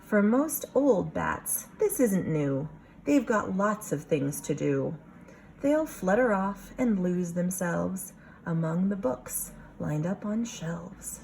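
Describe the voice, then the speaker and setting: A woman teacher reading a picture book aloud to young children, expressive, pausing to question and explain. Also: fairly low pitch, creaky, a little hiss or hum, poor audio.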